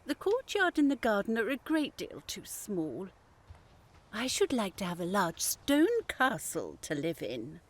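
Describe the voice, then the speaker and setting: A woman's voice: mid-range voice, wildly swooping pitch, unhurried. An actress playing a discontented, grasping fisherman's wife in a fairy tale audio drama, with an imperious manner. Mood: demanding. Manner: imperious, complaining.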